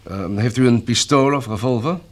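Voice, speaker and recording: An actor playing a brisk, bureaucratic colonel in a radio drama, with a clipped, orderly way of questioning. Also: male voice, mid-range voice, lightly acted. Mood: businesslike, practical. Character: brisk, bureaucratic male colonel running a survivors' camp. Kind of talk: Dutch radio drama acting.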